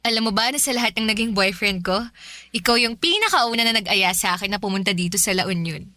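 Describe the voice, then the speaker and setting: A woman's voice: mid-range voice, fast talker, sharp tone, no echo, natural voice. A woman acting a bubbly, teasing, affectionate young working woman in a Filipino radio romance drama, with a Filipino accent. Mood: sweet, affectionate.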